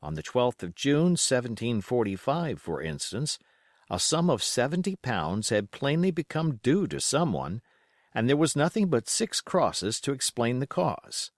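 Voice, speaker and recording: A man reading a novel as a scripted audiobook narration, measured and carefully phrased. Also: medium pitch, sing-song, no room sound, clean studio sound.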